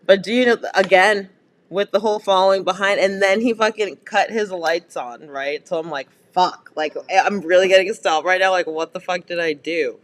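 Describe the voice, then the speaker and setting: A man's voice: high voice, moderate pace, fairly bright tone, a little hiss or hum. A man co-hosting a casual podcast chat, telling stories and laughing often. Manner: laughing, storytelling. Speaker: podcast co-host.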